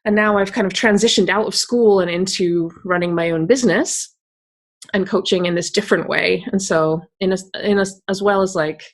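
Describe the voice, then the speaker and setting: Scottish woman with a softened accent. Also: mid-range voice, steady tone, moderate pace. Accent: Scottish, softened by years abroad. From Scotland. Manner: candid and upbeat, laughs easily, with many fillers like "you know". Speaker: tech coach and guest. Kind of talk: conversational interview answers.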